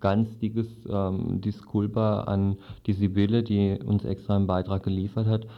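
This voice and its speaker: A man with a lowish, rather flat voice presents a live radio show. He talks casually and off the cuff, and the recording sounds a little muffled. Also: normal speed. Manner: casual, improvised. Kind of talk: informal live programme sign-off.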